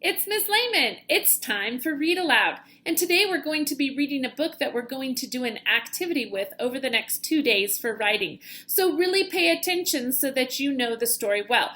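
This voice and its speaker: A woman with a high voice reads aloud as a primary-style teacher would. Her delivery is expressive and dramatic, shaped for children following a storybook. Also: normal speed, hardly any pauses. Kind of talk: children's picture book read-aloud.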